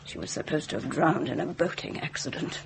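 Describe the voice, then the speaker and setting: A woman's voice: low-pitched, brisk, vocal fry, slight background noise. An actress playing a middle-aged, bitter, grieving mother in a radio drama, intense and insistent. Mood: bitter grief. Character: bitter, grieving, accusing mother of a dead bride. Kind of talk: British radio drama acting.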